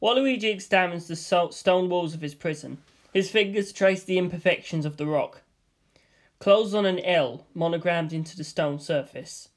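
A man reads a comedy film script aloud, stage directions and all. His delivery is dry and deadpan. His voice sits a little high for a man. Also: moderate pace. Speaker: script reader.

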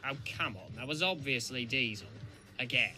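A man's voice: mid-range voice, animated, moderate pace, pushed and bright, faint music behind. A man voicing a vain, boastful red steam engine playing detective in a fan cartoon dub, pompous and huffy. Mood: self-assured. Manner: pompous, huffy, self-assured. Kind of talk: fan comic dub voice acting.

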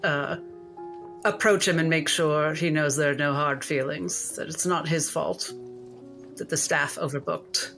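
A woman voicing a genteel doctor in a tabletop game, polite and prim while quietly scheming. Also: sits low, steady tone, unhurried, soft background music. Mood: polite, probing.

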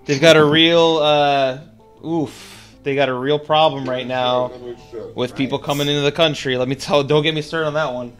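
Deadpan mock-earnest man. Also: on the high side, moderate pace, faint music behind. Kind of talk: casual voice chat.

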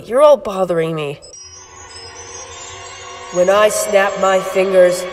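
A woman's voice: fairly high pitch, big-room sound, natural voice. A woman playing a haughty, menacing Snow Queen villainess with a grandiose, imperious delivery in a cartoon parody. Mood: imperious, threatening.

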